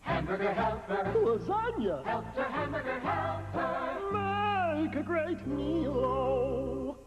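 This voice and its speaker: A man voicing an exuberant cartoonish advertising mascot with a drawn-out, sing-song delivery. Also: very high voice, sing-song, exaggerated voice. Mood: gleeful. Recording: dull lo-fi, loud music.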